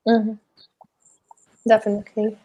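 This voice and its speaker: A woman's voice: a little high, monotone, a little slow, mellow. A woman moderating a panel who affirms speakers with short acknowledgements. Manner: affirming backchannels.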